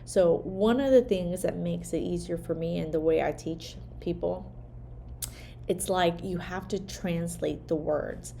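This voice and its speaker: A woman's voice: fairly low pitch, measured pace, creaky, dark tone, slight background noise. A woman whose first language is Vietnamese, a hand therapist giving a casual teaching talk, peppered with "like" and "right?". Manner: conversational, frequent "like" and "right?" tags.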